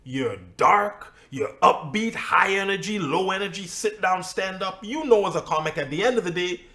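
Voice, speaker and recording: A comedian co-hosting a casual podcast chat, talking emphatically and acting out little asides. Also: male voice, sits high, animated, moderate pace, pushed and bright. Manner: emphatic, rants with acted-out asides.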